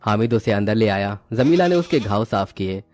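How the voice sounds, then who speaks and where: Male storyteller, low register, scripted moral-tale narration, dry sound over light music. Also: expressive, moderate pace, a little mellow, no echo.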